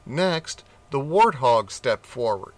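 A man reading a children's storybook aloud with gentle, expressive narration. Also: medium pitch, slow. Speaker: audiobook reader.

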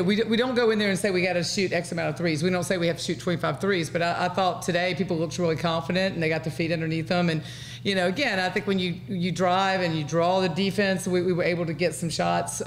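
Middle aged american lady coach at a presser, gravelly, halting, bit of room noise. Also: lowish voice, steady tone. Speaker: basketball head coach. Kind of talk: post-game press conference answers. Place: indoor press conference room. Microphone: table microphone.

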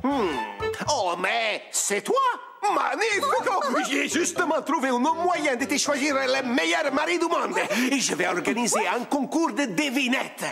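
A jovial old cartoon king, very high for a man and swooping up and down. He is a doting, theatrical father, exclaiming over music. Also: sing-song, a little slow, gravelly, full character voice. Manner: theatrical, exclaiming, booming showman delivery. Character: jovial older king, doting father who loves riddles. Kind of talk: French children's cartoon voice acting. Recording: music behind the voice.

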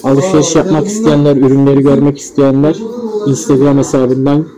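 A man's voice: mid-range voice, fairly even intonation, fairly quick, a crowd in the background. A man giving casual motorcycle vlog commentary as the host, recorded on an action camera. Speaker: motovlogger, host. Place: outdoors among parked motorcycles and on the road. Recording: noisy action camera recording, street and traffic.

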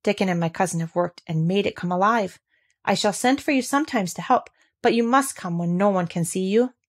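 Storybook role: haughty young master, a boy, commanding, sharp and proud, read by a woman. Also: medium pitch, a little fast, natural voice.

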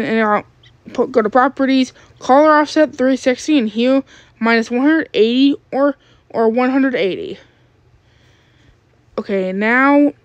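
A woman giving a step-by-step app tutorial in a halting way, searching for words. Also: fairly high pitch, no echo. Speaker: tutorial creator.

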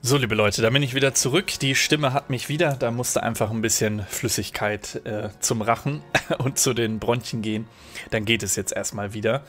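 A man's voice: mid-range voice, normal speed, fairly bright tone, soft background music. A German-speaking man commentating a strategy game at his desk, explaining mechanics thoughtfully with many fillers while recovering from a cold. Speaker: let's play host.

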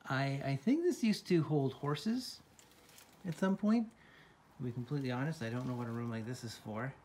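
A man in his thirties hosting a casual vlog tour, talking in a halting, playfully dramatic way into a handheld phone. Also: mid-range voice, a little mellow. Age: 30s. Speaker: vlog host. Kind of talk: casual first-person video tour. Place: at home indoors, then outdoors and inside an old barn. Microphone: handheld phone at arm's length.

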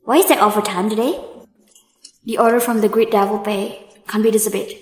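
A woman acting a young, deferential but privately exasperated assistant in a dubbed romantic drama, with a husky voice. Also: mid-range voice, steady tone, brisk, darkish tone, close to an everyday voice. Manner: polite, deferential.

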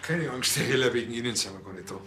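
A man's voice: mid-range voice, very fast, noisy background, close to an everyday voice. An actor playing a sly, cheeky small-town policeman with a teasing, colloquial way of talking.

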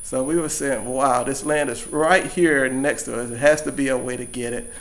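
Measured man explaining. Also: medium pitch, steady tone, a bit bright, rough recording. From Louisiana. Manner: measured, explanatory, frequent restarts. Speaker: farmer. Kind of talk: interview answers.

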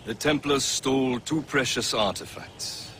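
A man's voice: mid-range voice, expressive, unhurried, sharp tone, a little hiss or hum. An actor playing a grave, formal male assassin mentor in a video game. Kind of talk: video game cutscene acting.

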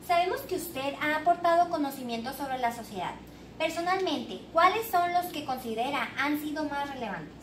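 A woman's voice: high-pitched, expressive, normal speed. A young woman hosting a scripted mock interview, asking formal questions. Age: young adult. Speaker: interviewer, show host. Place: small bare indoor room with tiled floor. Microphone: far camera. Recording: echoey room, distant mic, background hiss.

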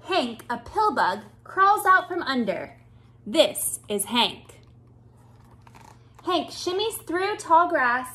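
High-pitched, animated woman reading to children. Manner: expressive, deliberate phrasing, addressing children. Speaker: children's storytime reader. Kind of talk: picture-book read-aloud for young children. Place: small indoor room with bookshelves.

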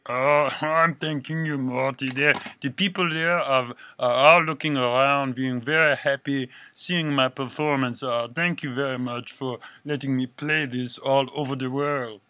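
Man playing a slow, effusive French sculptor-poet. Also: mid-range voice, steady tone, sharp tone. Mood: delighted. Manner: halting, flowery, non-native phrasing.

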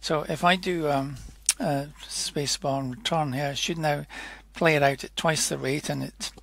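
A man narrating an informal technical tutorial in a hesitant, halting way, often correcting himself as he explains. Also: on the high side, steady tone, creaky, fairly bright tone. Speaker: software demonstrator.

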